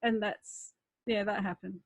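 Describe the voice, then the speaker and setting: A woman's voice: medium pitch, steady tone, unhurried, no room sound. A woman telling anecdotes in casual, candid chat, wry and self-deprecating. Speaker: writer in conversation.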